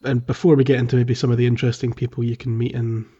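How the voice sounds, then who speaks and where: A man co-hosting a podcast, casually discussing a video game in a halting, thinking-aloud way. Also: medium pitch, little pitch movement, dark tone, husky. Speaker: podcast co-host. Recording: slightly dull, lo-fi podcast audio.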